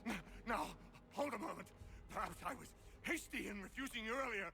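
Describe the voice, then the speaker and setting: An actor playing a proud, sharp-tongued captive fixer, indignant and emphatic in a dramatic game cutscene. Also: male voice, sits high, animated, a little fast, creaky, a little hiss or hum, heavily acted. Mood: pleading, panicked.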